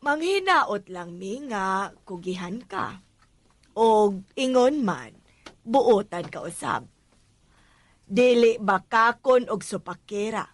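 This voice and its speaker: An actress with a Cebuano Filipino accent playing a composed, authoritative wealthy madam in a radio drama, measured and formal. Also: female voice, medium pitch, animated. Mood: composed, approving. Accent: Filipino, Cebuano-speaking. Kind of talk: Philippine radio drama acting.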